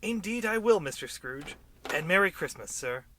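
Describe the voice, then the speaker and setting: An actor playing a meek, polite, timid clerk in a radio play, deferential and apologetic. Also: male voice, sits high, expressive, normal speed, very noisy. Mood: meek, pleading. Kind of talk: radio drama acting.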